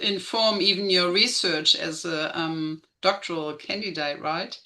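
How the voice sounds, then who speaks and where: A woman's voice: on the low side, unhurried, bright, forceful tone. A woman speaking casually as a co-organiser of an online meeting. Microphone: video call.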